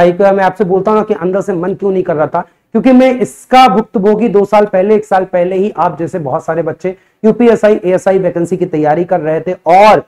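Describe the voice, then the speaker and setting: A man's voice: high-pitched, dark tone. Earnest man teaching. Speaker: exam-coaching teacher and presenter. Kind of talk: explanatory talk to students. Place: indoor coaching studio in front of a digital board. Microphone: lapel mic.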